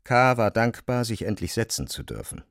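A man narrating a literary radio drama in German in a measured, deliberate way. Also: fairly low pitch, sing-song, fairly bright tone, no room sound, clean studio sound.

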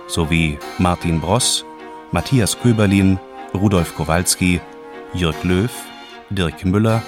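A man reading scripted radio drama credits as an announcer, in a measured, formal style. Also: low-pitched. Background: music.

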